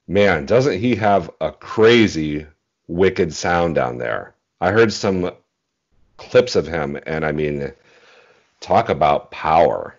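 A man in his forties chatting as an interview guest about singing, thinking aloud in measured phrases on a video call. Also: deep voice, animated. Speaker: interview guest, bass singer. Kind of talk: casual interview chat about singing technique. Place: small indoor room at home, video call. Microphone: earphones on a video call. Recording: dull, muffled video-call audio.

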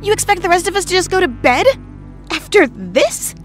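An actress playing an excitable young female mutant who exclaims eagerly. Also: very high voice, normal speed, soft background music. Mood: excited. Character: excitable young woman mutant, phasing teen.

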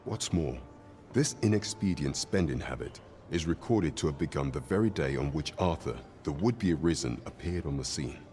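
An actor playing a grave, loyal knight captain in a fantasy game, speaking formal archaic English in a measured, courtly way. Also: male voice, rumbling low, expressive, darkish tone, faint music behind. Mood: grave, deliberate.

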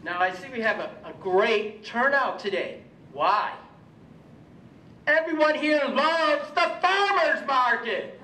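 Sixties American man, slow emphatic rally speaker, roomy sound. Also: expressive, sharp tone. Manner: emphatic, gesturing. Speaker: citizen speaking at rally-style public comment. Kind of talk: rallying speech. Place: indoor council meeting room. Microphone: gooseneck podium microphone. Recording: echoey room, distant mic, crowd, rumble.

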